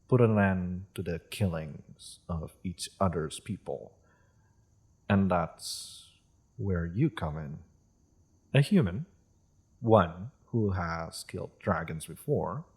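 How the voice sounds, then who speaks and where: A man playing a polite, solemn half-dragon in a fantasy audio roleplay, with husky tone and formal, measured phrasing. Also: deep voice, darkish tone, full character voice. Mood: grave, solemn. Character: polite, solemn male half-dragon seeking peace with humans.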